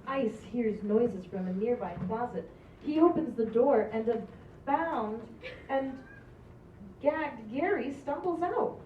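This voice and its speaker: A woman's voice: on the high side, animated, takes it slowly. A woman reading script stage directions aloud at a table read, in a plain, amused way. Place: room at a group table read. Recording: roomy, distant, dull sound with noise.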